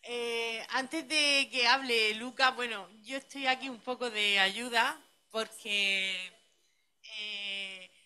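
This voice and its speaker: A woman addresses a conference audience in a light, reassuring way, standing by as the speaker's language helper. Her voice is fairly high and very bright. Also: steady tone, slow pace, clean tone. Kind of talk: informal remarks to a conference audience.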